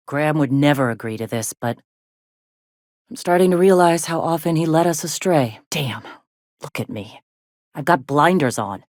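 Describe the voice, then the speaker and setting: An actress playing a weary, plain-spoken rebel lieutenant turned leader in a video game, with a blunt, folksy, colloquial manner. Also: female voice, rumbling low, a little mellow, no room sound, studio quality. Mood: frustrated, doubtful. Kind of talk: scripted game dialogue.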